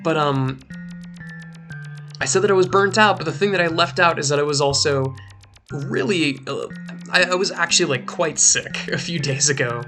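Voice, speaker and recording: A young man doing casual gameplay commentary in a rambling, filler-heavy way, his voice a little rough from a recent sore throat. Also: on the high side, normal speed. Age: young adult. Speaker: let's play host. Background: faint game music.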